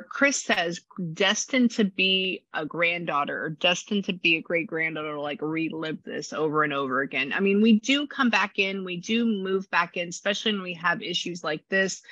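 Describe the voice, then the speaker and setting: A woman with a low voice and a slight rasp, chatting through a spirit reading and passing on messages. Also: normal speed, sharp tone, no echo. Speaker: psychic medium.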